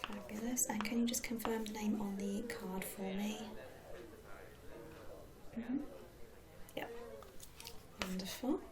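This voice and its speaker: A British woman whispering softly and breathily in an ASMR roleplay, gently reassuring a customer like a receptionist. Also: mid-range voice, steady tone, slow pace, long pauses, noisy background. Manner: gentle, reassuring customer-service patter with endearments. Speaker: ASMR performer playing a club receptionist.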